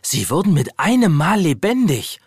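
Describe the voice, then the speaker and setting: A man's voice: on the high side, big pitch swings, normal speed, slightly rough, no echo, clean studio sound. A man narrating a scripted children's story as the storyteller of a radio play. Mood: storytelling. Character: storyteller of a children's toy adventure.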